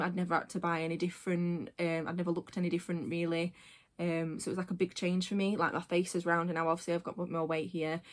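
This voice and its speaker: A woman in her late twenties talks casually to her camera. She rambles and goes off on tangents, filling pauses with ums and likes. Her voice sits a little lower than most women's. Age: 20s. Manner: rambling, chatty, full of fillers and tangents. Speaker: vlogger. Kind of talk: casual personal vlog.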